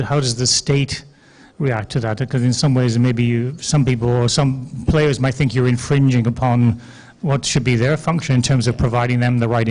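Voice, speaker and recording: A man's voice: medium pitch, darkish tone, low-quality recording. A man moderating a panel, asking a question in a hesitant way as he searches for words.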